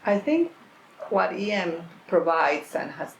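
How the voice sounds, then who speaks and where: Gravelly woman from Colombia. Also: on the low side, sing-song, slight background noise, rough recording. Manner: measured, thoughtful explanations with frequent self-corrections. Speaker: portfolio manager. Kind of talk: interview answers.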